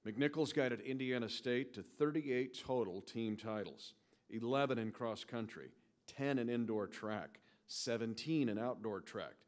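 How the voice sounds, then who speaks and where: A man reading scripted, formal award introductions as a ceremony announcer, in a measured presenting manner. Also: medium pitch.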